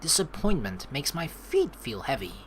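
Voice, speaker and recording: A woman's voice: very deep voice, big pitch swings, moderate pace, a little hiss or hum. A woman doing casual let's play commentary, dramatic and sweary, reading game text aloud and improvising replies. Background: game music.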